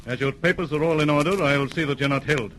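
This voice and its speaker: An actor playing a determined British police superintendent in an old radio drama, clipped and authoritative. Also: male voice, a little high, fast, fairly bright tone, lightly acted. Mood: brisk, commanding. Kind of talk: 1930s-40s radio drama acting. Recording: noisy, clicking vintage radio recording.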